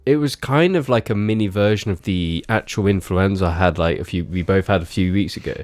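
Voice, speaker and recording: A man's voice: low voice, fairly quick, darkish tone, no room sound. A young man co-hosting a podcast, sounding bunged up from a cold, joking and teasing his friends. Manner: jokey, teasing, calls others "bro". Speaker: podcast co-host. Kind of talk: casual podcast chat.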